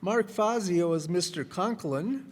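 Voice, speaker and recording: A man announcing in a measured, presentational way, reading formal credits from a stage. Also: high-pitched, lively intonation, crowd noise. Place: live stage in a hall. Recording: reverberant hall, audience noise and hiss.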